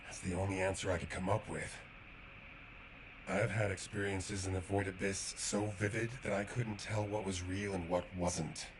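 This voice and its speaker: An actor playing a grave, devoted warrior in a sci-fi video game, speaking in an earnest, measured way. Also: male voice, sits low, level tone, background noise, natural voice. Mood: troubled, solemn. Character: grave, devoted male sci-fi warrior grieving lost comrade. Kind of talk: video game quest dialogue.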